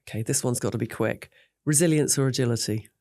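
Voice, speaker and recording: A woman hosts a business interview, moving briskly from one question to the next. Her voice sounds dry and close, with no room echo. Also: very deep voice, dark tone. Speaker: host.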